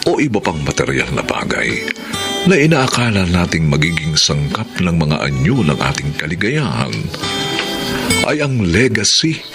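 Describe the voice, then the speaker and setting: A man narrating and reading credits for a radio drama, slow and dramatic. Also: low voice, huge pitch swings. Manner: slow, dramatic, weighty delivery. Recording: radio broadcast over loud music.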